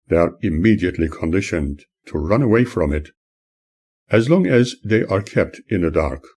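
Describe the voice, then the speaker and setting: A man reading scripted audiobook-style narration in a measured, earnest, oratorical way. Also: sits low, a little mellow, husky. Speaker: author reading own book.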